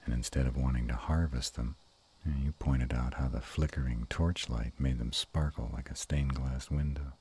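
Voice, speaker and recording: A man playing an affectionate, bashful, gruff were-dragon lover in an intimate romantic roleplay audio, with a slow, teasing murmur. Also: steady tone, a little mellow, exaggerated voice. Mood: loving. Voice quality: very deep and husky.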